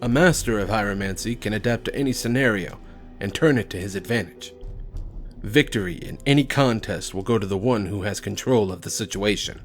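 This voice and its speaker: A man playing a stern, composed prison warden and mentor in an audio drama, speaking in a measured, formal, instructive way. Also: on the low side, soft background music, close to an everyday voice.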